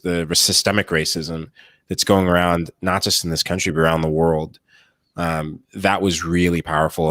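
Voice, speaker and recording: A man, a former pro player on a podcast panel, speaking earnestly and in measured phrases. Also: sits low, a bit bright, dry recording. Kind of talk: earnest speech.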